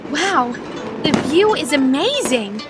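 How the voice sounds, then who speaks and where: A woman playing a caring, easily exasperated teenage sister in an animated comic dub, very expressive. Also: high voice, takes it slowly, loud music behind the voice, exaggerated voice.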